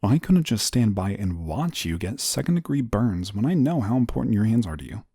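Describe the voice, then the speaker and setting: Exasperated, animated male guardian angel played by a man. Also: fairly low pitch, brisk, slightly rough, dry recording, studio quality, exaggerated voice. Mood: earnest, exasperated. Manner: exasperated, emphatic scolding. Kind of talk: audio roleplay addressed to the listener.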